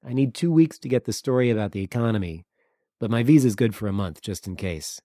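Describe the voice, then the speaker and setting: A man's voice: medium pitch, expressive, fairly quick, mellow, no echo, natural voice. A man voicing a dry, easygoing visiting journalist. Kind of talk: audiobook character dialogue.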